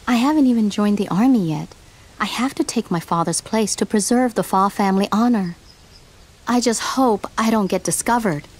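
A woman playing an earnest, uncertain young warrior in an animated game, polite and hesitant. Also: mid-range voice, normal speed, natural voice. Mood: anxious. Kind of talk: animated video game character acting.

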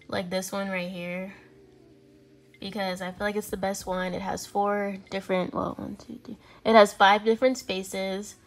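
A woman in her twenties from California, chatting casually through her day in a vlog, rambling with lots of fillers, filmed on a phone at arm's length in a small apartment. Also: mid-range voice. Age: 20s. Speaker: lifestyle vlogger. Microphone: phone camera held at arm's length.

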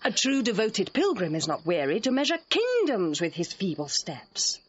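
A woman's voice: medium pitch, very animated, moderate pace, husky, dull audio. An actress playing a headstrong, playful young noblewoman in love, speaking Shakespearean verse with ardent lyricism in an audio drama. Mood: yearning, pleading.

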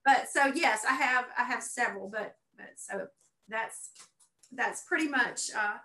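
A woman's voice: fairly high pitch, unhurried, bright, forceful tone, a little hiss or hum. A middle-aged woman talking as a guest in an earnest, effusive conversational way, often repeating phrases for emphasis. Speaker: guest, painter and art teacher. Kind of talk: conversational personal testimony. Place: indoor room at home, on video call. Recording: roomy, echoey video call sound.

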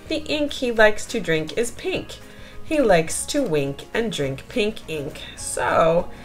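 A woman reading a rhyming picture book aloud for young children in an expressive, deliberate storytelling manner. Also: mid-range voice.

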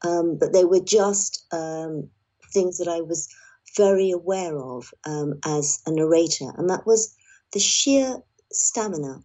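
A woman's voice: fairly low pitch, a little slow, dark tone, rough recording. A woman speaking thoughtfully and hesitantly as an audiobook narrator being interviewed. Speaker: audiobook narrator, podcast guest. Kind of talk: reflective interview talk.

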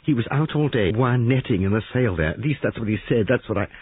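A man's voice: mid-range voice, animated, fast, slightly rough, dry recording, pitched above the performer's own voice. A man voicing an anxious, distraught young wife in an audiobook story, breathless and pleading, with stammers. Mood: breathless, flustered. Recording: narrow-band lo-fi radio-like sound.

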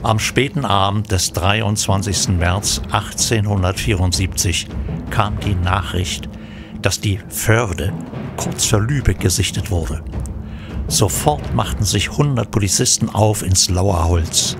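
An actor as the storyteller, giving scripted narration for a historical crime audio drama. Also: male voice, fairly low pitch, unhurried, gravelly, music in the background. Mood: suspenseful.

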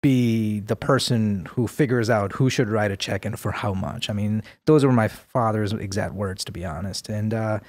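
Measured Indian-born man, dry studio sound. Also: lowish voice, a little mellow, no echo. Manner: thoughtful, measured, with many fillers. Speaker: guest. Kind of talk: podcast interview. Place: studio room with plants. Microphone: boom mic with headphones.

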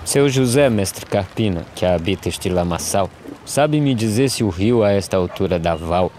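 Male actor as despairing Brazilian drought migrant, lowish voice, solemn verse, cartoon drama, noticeable outdoor background noise. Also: expressive, a little mellow, close to an everyday voice. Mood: pleading, questioning. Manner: solemn, measured declamation of verse. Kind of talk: animated verse drama, poetic dialogue. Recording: outdoor ambience noise behind the voice.

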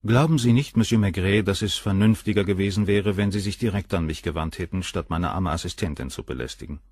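An actor playing a cold, condescending, articulate professor with a husky voice in a radio crime drama. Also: male voice, low voice, fast, darkish tone, no echo. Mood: reproachful. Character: cold, haughty, gaunt male professor, a famous doctor.

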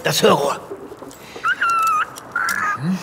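An actor playing an eccentric, earnest space veterinarian in a comedic sci-fi audio drama, with a dramatic, quirky delivery. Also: male voice, on the high side, extremely slow, heavy background noise. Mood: urgent. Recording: loud ambience and sound effects behind.

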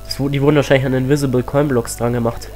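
Teen male let's-player, slightly stuffy from a cold, casual and excitable, mid-pitched, home mic with faint game music. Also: racing. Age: teens. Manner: chatty, excitable, rambling asides. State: has a cold. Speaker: let's play host.

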